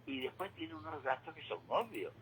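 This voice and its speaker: An older man giving a reflective radio monologue, halting and repeating words, with rhetorical questions. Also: fairly high pitch, very animated, a bit bright. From Spain. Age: 60s or older. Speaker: retired regular contributor giving commentary. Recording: clearly noisy radio feed.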